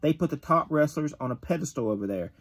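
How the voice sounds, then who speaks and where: A man in his thirties reading a wrestling magazine interview aloud at home, gruff and tough-talking, with the odd stumble, recorded on a phone camera at close range. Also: a little high, animated, quick pace. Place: bedroom with shelves of collectibles.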